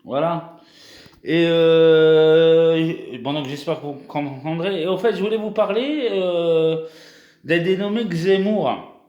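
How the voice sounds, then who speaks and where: A man's voice: a little high, slow, echoey room, muffled recording. A young man from France talking casually to his viewers in a vlog, hesitant, with many restarts and fillers. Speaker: YouTuber.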